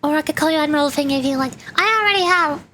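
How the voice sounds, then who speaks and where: High-voiced, playful woman streaming. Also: quick pace, a little hiss or hum. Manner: playful, animated bantering. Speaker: VTuber livestreamer. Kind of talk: casual chat with stream viewers.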